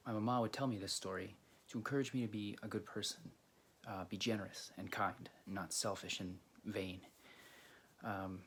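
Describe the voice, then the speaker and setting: Casting: male video host from Greece, low creaky voice, halting casual storyteller, faint noise behind. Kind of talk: casual storytelling to camera or viewers.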